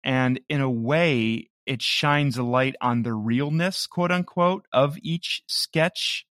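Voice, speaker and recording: A man's voice: medium pitch, takes it slowly, a bit bright, no echo, studio quality. A man chatting casually as a comedy podcast guest. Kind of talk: casual chat.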